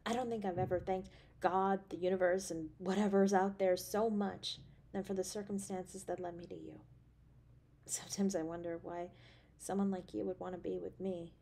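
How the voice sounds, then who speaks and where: A woman's voice: lowish voice, normal speed. A woman acting a tender, affectionate girlfriend. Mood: soft, grateful. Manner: tender, expressive, with sighs and soft chuckles. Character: affectionate, anxious, playfully teasing girlfriend on a date. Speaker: voice actor in an audio roleplay. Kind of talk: intimate romantic audio roleplay acting.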